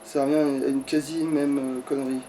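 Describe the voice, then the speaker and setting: A man's voice: mid-range voice, flat delivery, moderate pace, warm tone. A man giving casual let's play commentary, thinking aloud in a grumbling, foul-mouthed way. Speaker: gaming streamer. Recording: distant mic with background noise.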